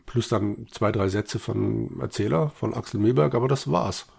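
Male podcast co-host, gravelly rasp, casual and appreciative retelling style. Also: on the low side, moderate pace, a little mellow. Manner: appreciative, quotes characters' lines while retelling. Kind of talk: casual discussion retelling an audio drama.

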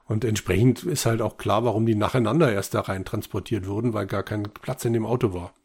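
A man's voice: lowish voice, brisk, darkish tone, breathy edge. A man co-hosting a podcast, casually retelling an audio drama episode and acting out quoted bits as he goes. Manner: acts out quoted lines while retelling. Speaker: podcast co-host.

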